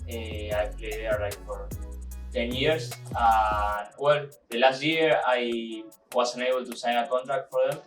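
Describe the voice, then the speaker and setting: A man's voice: medium pitch, steady tone, measured pace. Argentine man in an echoey room. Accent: Argentine Spanish. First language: Spanish. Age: 20s. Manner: restarts and "eh" fillers. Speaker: university soccer midfielder. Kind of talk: documentary interview. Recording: echoey room, distant mic, faint music.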